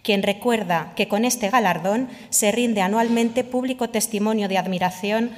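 A woman's voice: mid-range voice, normal speed. A woman formally reads out official minutes at a ceremony. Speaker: official reading jury minutes. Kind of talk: formal document reading. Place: large indoor hall. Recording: reverberant ceremony hall.

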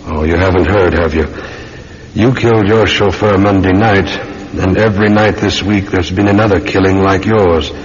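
An actor playing a polite, methodical London police detective with a British accent, deferential and musing in an old-time radio drama. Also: male voice, low voice, moderate pace, a little hiss or hum, lightly acted. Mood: calm, probing. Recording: dull, muffled classic radio recording.

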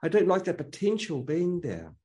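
Mellow-voiced man, earnest and opinionated. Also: fairly high pitch, wildly swooping pitch, normal speed. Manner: earnest, opinionated, frequent restarts. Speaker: guest. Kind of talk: casual conversational interview.

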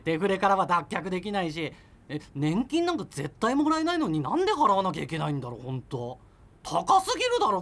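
An actor playing an evasive, argumentative boyfriend in his thirties in naturalistic radio drama dialogue, rambling as he justifies himself. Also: high-pitched. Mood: indignant, complaining. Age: 30s. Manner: defensive, rambling self-justification. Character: evasive, argumentative thirty-year-old freelancer boyfriend living with parents.

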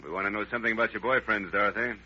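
An actor playing a no-nonsense police interrogator in an old radio crime drama. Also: male voice, on the low side, fairly even intonation, rapid-fire, breathy edge. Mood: businesslike. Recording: noisy, muffled old radio broadcast.

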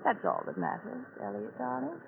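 Thin old radio sound, shrewd bride, high pitch, arch, pointed, crime drama. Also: female voice, normal speed, vocal fry. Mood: coolly triumphant. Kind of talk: 1940s-50s radio drama acting. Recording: phone-like vintage radio, faint noise.

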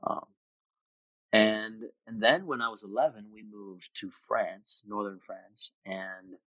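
Man, 20s, from Austria, low-pitched, reflective podcast guest, dry recording. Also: steady tone, slow pace, pushed and bright, dry recording, rough recording. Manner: reflective, thoughtful with frequent restarts. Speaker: interview guest. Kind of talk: casual conversation.

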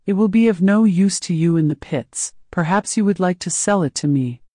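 A woman's voice: lowish voice, moderate pace, dark tone, dry recording, clean studio sound, natural voice. A woman reading an audiobook, voicing a massive male alien slave keeper who is genial, mocking and coolly menacing. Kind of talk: audiobook character voice.

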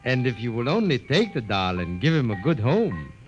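A suave, quietly menacing doll-maker, voiced by a man, in a 1950s radio drama. His courteous lines rise and fall with theatrical sweep, and soft music hums behind them. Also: medium pitch, very animated, moderate pace, close to an everyday voice, low-quality recording. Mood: smooth.

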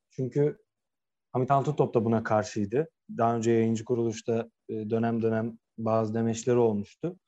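Mellow-voiced man arguing football, dull recording. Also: mid-range voice. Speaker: football podcast co-host. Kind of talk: casual opinionated football discussion. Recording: dull, lo-fi sound.

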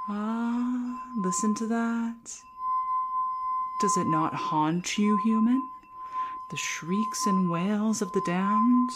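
A woman playing a shy, hapless young female ghost in an ASMR roleplay, speaking softly and often whispering, with theatrically spooky teasing. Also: medium pitch, noisy background. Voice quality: soft, breathy, often whispered.

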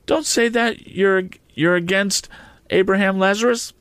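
Breathless pleading woman in a storybook reading, put on by a man, high and stammering. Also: unhurried, fairly bright tone, clean tone, no echo. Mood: frantic pleading. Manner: frantic, stammering, repeating herself. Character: excitable pleading woman begging a lawyer.

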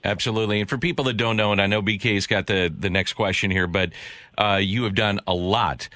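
A man's voice: on the low side, steady tone, brisk, sharp tone, no room sound. A male sports radio host asking long, rambling questions full of praise.

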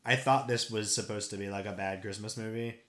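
A man's voice: lowish voice, steady tone, fairly quick, fairly bright tone, roomy sound. A man co-hosting a podcast in casual chat, laid-back, cursing and laughing often, with a slightly stuffy nose. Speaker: podcast co-host.

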